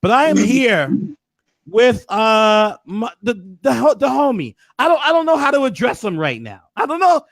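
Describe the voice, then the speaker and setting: A hoarse man hosting a casual recap, hyping things up with preacher-like flourishes. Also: extremely high pitch, very animated, normal speed, dry recording, low-quality recording. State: hoarse, losing his voice. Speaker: host.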